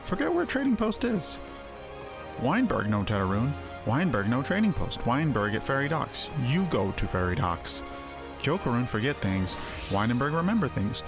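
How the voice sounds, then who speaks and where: A man's voice: medium pitch, big pitch swings, moderate pace, over music. A man voicing a simple, forgetful little beastman in a video game, speaking in clipped, broken third person. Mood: curt, matter-of-fact. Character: forgetful small beastman creature, curt and simple. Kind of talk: voiced video game dialogue.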